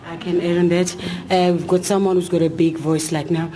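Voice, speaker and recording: A woman's voice: on the low side, level tone, brisk, mellow, slight background noise. A woman, an a cappella singer, talking enthusiastically in an interview with many hesitations. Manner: enthusiastic, hesitant with fillers and restarts. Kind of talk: casual interview talk.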